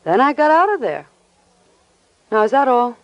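A woman's voice: sits high, sing-song, moderate pace, lo-fi sound. An actress playing a guarded, impatient woman witness in a 1950s radio detective drama. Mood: guarded, curt. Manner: curt, impatient. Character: exasperated, guarded young woman witness, curt and weary of questions.

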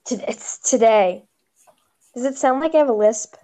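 A woman's voice: a little high, moderate pace, dark tone, slight background noise. A woman hosting a casual podcast over a phone, chatty and rambling, with a slight lisp. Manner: chatty, rambling, restarts often. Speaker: podcast host.

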